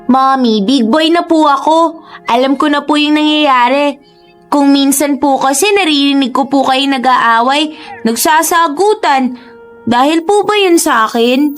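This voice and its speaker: A sweet little boy with a high, childlike voice. He is polite and earnest, in radio drama style, with music underneath. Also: unhurried. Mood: earnest, pleading. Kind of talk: Tagalog radio drama acting.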